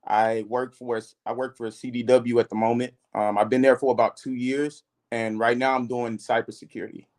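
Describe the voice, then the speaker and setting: A man's voice: mid-range voice, little pitch movement, brisk, darkish tone. Man, 30, from houston, guest, hesitant, restarts sentences. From Houston, Texas. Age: 30s.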